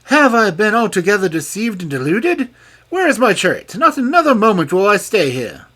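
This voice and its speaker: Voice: medium pitch, big pitch swings, moderate pace, a bit bright, slightly rough, pitched above the performer's own voice. A storybook narrator voicing a stubborn, passionate young king who speaks in a raised, emphatic voice. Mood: defiant.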